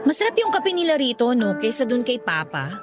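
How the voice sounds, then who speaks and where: A woman's voice: on the high side, huge pitch swings, a little fast, over loud music. A woman playing a withdrawn, defensive young daughter in a Tagalog radio drama, with a sulky manner. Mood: casual, wary.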